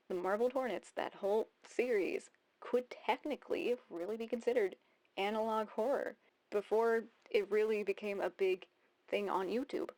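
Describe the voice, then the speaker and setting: A woman in her thirties giving casual video essay commentary, rambling and thinking aloud as she repeats phrases. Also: mid-range voice. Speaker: YouTube host.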